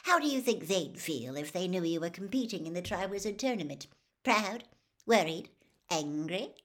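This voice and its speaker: A pushy, gossip-hungry female reporter, voiced by a woman reading the book, fires off brisk, prying questions with a gushing edge. Her pitch leaps up and down, very animated, from a lowish voice. It is a storybook reading. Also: natural voice. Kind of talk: audiobook character voice.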